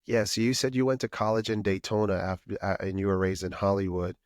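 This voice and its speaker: Host, man, fast and low-voiced, friendly rapid questions, dry close sound. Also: level tone, dry recording. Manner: quick, friendly questions with fillers. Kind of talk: casual interview over video call.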